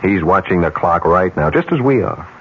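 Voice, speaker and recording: An actor playing a cynical, persuasive ad executive in a radio mystery drama, smooth, chuckling and insistent. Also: male voice, low voice, animated, moderate pace, fairly bright tone. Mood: smugly persuasive. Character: cynical, persuasive male ad executive scheming to corrupt a colleague. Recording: dull, noisy old radio recording.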